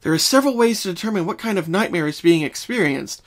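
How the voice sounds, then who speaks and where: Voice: medium pitch, animated, quick pace, pitched above the performer's own voice, close to an everyday voice. A performer voicing a caring, bookish young female unicorn pony who speaks earnestly and precisely in a cartoon fan reading. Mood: studious. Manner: concerned, precise, articulate.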